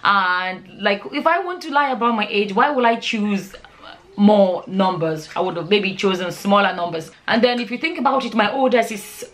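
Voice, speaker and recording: A woman's voice: mid-range voice, expressive, moderate pace, a bit bright. Chatty, emphatic woman vlogger from Nigeria. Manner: informal, emphatic, repeats words and phrases for stress. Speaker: vlogger, channel host. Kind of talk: casual chatty vlog answering viewer questions.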